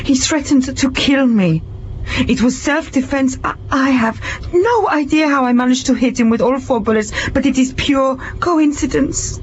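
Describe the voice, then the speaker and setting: Muffled, theatrical scheming adventuress played by an actress. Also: female voice, high voice, normal speed, a little hiss or hum, natural voice. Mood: defiant, haughty. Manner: theatrical, self-justifying. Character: cunning pregnant adventuress, feigning wronged innocence, venomous when crossed. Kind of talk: audio drama acting.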